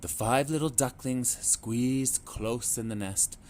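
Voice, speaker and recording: A man reading a picture book aloud to children in a slow, clear, expressive storytelling manner. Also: mid-range voice.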